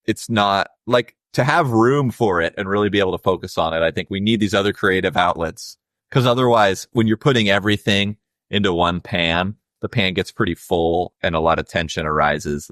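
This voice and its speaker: A young adult man with a low voice, a musician guest talking casually and reflectively in an interview, with many fillers. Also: normal speed, fairly bright tone, no room sound, clean studio sound.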